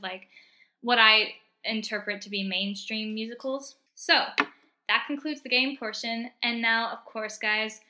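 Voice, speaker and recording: A woman's voice: on the high side, pushed and bright. A young woman hosting a casual solo podcast, talking in an emphatic, encouraging pep-talk way. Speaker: podcast host.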